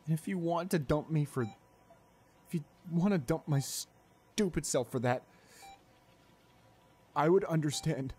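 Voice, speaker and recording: A man playing a tender, remorseful young boyfriend in an ASMR audio roleplay, speaking softly and haltingly with emotion. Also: on the high side, slightly rough. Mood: remorseful, pleading. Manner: halting, soft-spoken, emotional delivery with pauses. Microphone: close studio condenser microphone.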